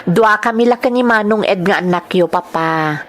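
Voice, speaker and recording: An actress playing a dutiful, respectful young daughter and new teacher in an Ilocano radio drama, speaking politely with a Filipino accent. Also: female voice, mid-range voice, moderate pace, lightly acted. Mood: earnest, glad. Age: young adult.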